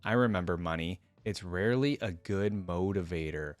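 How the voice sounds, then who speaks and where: A man voicing a gentle, whimsical tree creature in a read-aloud story, with kindly, quirky politeness. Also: low voice, normal speed, no room sound, faint music behind, pitched below the performer's own voice, lightly acted. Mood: musing.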